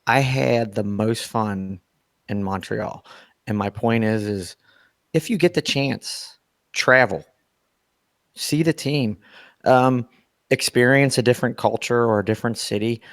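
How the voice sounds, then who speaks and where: A hoarse Midwestern man chatting on a fan podcast, his voice gravelly with fry. He rambles and circles back on his words but stays upbeat. The recording is dry and close. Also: mid-range voice, a little slow, long pauses, dry recording. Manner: rambling, repeats himself, upbeat. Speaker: podcast co-host. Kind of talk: casual chat.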